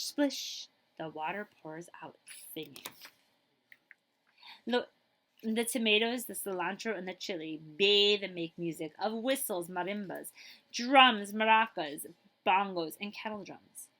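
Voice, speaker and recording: A woman's voice: on the high side, sharp tone. A woman school librarian reading a children's picture book aloud expressively, with chatty asides to her listeners.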